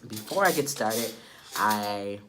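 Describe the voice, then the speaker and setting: A young adult man with a congested, stuffy-nosed sound from a cold, giving a casual, low-key update to camera. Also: animated, slow pace, background noise. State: sick with a stuffy nose. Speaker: vlogger.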